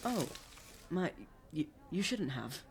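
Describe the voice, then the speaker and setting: An actor playing a brash, theatrical, foul-mouthed play director in an audio drama, with sassy, emphatic delivery. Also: male voice, a little high, very animated, takes it slowly, husky, exaggerated voice. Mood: fond, playful. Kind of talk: naturalistic audio drama acting.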